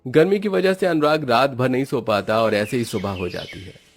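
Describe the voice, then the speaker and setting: A man's voice: medium pitch, moderate pace, soft background music. A man giving scripted narration as the storyteller of a moral tale.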